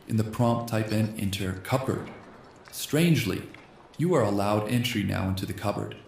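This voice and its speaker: A slightly hoarse man hosting a gaming channel, reading a text adventure game aloud in a soft ASMR style and speaking the punctuation marks. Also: on the low side, normal speed, reverberant, slight background noise. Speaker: host.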